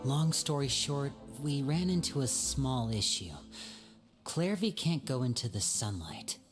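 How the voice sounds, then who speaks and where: A man's voice: medium pitch, expressive, a little slow, over music, close to an everyday voice. An actor playing an earnest, troubled young man who explains things in an anime game. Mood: concerned.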